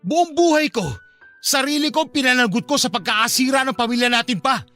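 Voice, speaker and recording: An actor playing an anguished young adult son in a Filipino radio drama, bitter and pleading, with emotional delivery. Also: male voice, extremely high pitch, brisk, sharp tone. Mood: angry, anguished. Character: anguished adult son confronting his cold father. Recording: music bed behind the voice.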